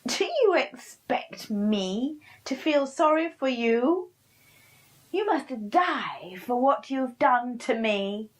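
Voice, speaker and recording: A woman doing a shrill, vengeful old witch. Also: very animated, exaggerated voice. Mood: scornful. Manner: high, shrill, drawn-out threats.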